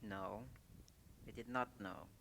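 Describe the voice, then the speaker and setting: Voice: deep voice, slow, vocal fry, heavily acted. An actor playing a proud, suave police inspector in a radio play, courteous and condescending. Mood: stern, dismissive. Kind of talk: stage-style radio play acting. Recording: noisy recording with clicks.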